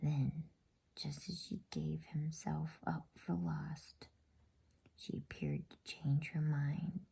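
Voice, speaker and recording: A woman narrates a bedtime story in an ASMR whisper. Her voice is very husky and breathy, with a mellow, relaxed tone and an unhurried pace. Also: deep voice.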